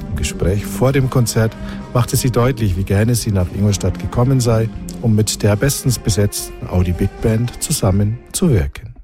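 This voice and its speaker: A man reading a scripted news report in a measured, formal way. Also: lowish voice. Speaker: reporter and interviewer. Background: music under the voice-over.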